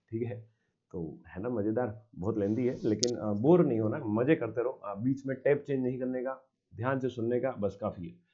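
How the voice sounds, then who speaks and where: Male teacher going through a lecture step by step, asks a question then answers it, muffled lo-fi audio. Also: medium pitch. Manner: mixes English terms into his speech. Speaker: commerce teacher. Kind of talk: recorded exam-prep lecture.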